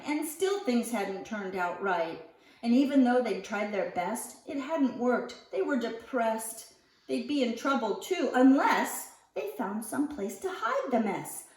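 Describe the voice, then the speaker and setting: A woman reading a rhyming children's picture book aloud in an expressive, theatrical storyteller's manner. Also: high-pitched. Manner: theatrical, expressive, with acted sighs and emphasis. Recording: roomy echo, distant microphone.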